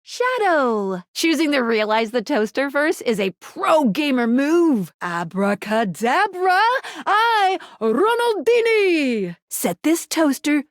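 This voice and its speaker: A woman playing a bubbly, nervous, playful young female guide in a video game, with theatrical, expressive delivery. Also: high voice, slow, no room sound, clean studio sound, exaggerated voice. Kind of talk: animated video game voice acting.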